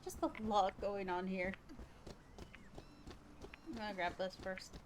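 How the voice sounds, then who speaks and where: A woman's voice: medium pitch, fairly even intonation, unhurried, a little hiss or hum. A woman livestreaming a video game, giving casual play-along commentary in an offhand way, often muttering to herself. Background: game music.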